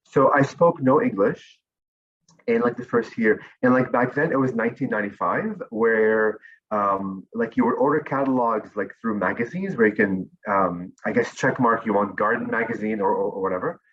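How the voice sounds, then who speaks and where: A man's voice: on the low side, normal speed, breathy edge, lo-fi sound. Man telling rambling stories. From Lebanon. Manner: rambling, many fillers and restarts. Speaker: interview guest. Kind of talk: casual storytelling.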